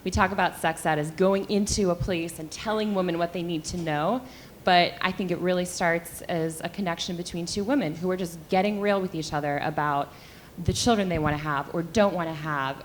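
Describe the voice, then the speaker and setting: A young woman with a slightly low voice speaks candidly on a panel, chatty and loose with fillers. A faint hiss sits under her voice at the luncheon. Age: young adult. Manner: candid, conversational, frequent fillers. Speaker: panelist, sex and relationship editor. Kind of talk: panel discussion remarks. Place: luncheon event hall.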